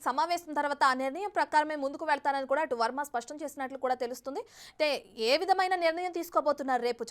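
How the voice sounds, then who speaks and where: High-pitched woman anchoring live TV news. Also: fairly bright tone.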